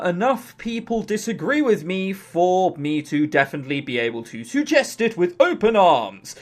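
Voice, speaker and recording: An informal video reviewer with a very lively, swooping delivery. The talk is blunt and opinionated, with swearing and comic overstatement, and runs like partly scripted commentary. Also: mid-range voice. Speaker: visual novel reviewer and channel host.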